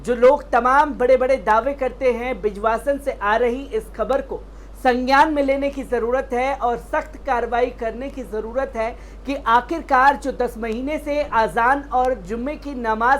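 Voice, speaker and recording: A woman presenting a news report with narration and commentary. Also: on the high side.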